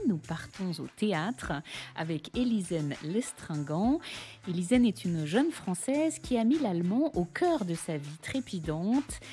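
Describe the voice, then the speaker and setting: Podcast host, woman. Mellow, mid-pitched, articulate and polite delivery. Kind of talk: podcast introduction and interview.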